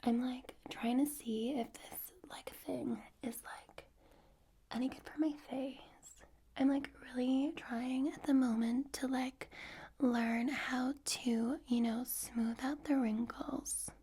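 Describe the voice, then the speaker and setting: A woman voicing a ditzy young woman who whispers in quick bursts and fills every sentence with "like" in an ASMR role-play. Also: high voice, fairly even intonation, warm tone, breathy edge, full character voice. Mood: airy. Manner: whispering, filler-heavy "like" talk, quick bursts. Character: ditzy young woman fussing over wrinkles.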